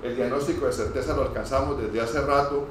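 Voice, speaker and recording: A man from Cúcuta with a northeastern Colombian accent, giving an informal medical lecture as a pulmonologist, hurried and digressive with stammered false starts. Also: mid-range voice, steady tone. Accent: northeastern Colombian Spanish. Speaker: pulmonologist teaching medical trainees. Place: echoey room, speaking to a group. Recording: very echoey room, distant mic, noisy.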